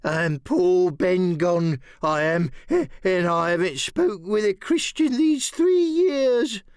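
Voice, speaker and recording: Castaway sailor, radio-play style: high, slow, rusty-hoarse, eager and sly, rambling like someone half-wild. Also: male voice, animated, no room sound, studio quality. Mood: awkward, plaintive. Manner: halting, rambling, rustic sailor's speech with drawn-out words. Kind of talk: audiobook radio-play character acting.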